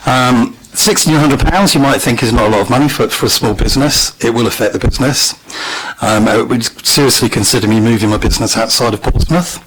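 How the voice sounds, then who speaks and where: Brief: businessman, croaky throat, measured and earnest public statement, mid pitch. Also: fairly bright tone, a little hiss or hum, low-quality recording. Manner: earnest, measured, frequent ums. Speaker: local business owner addressing council meeting. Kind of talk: public statement at council meeting.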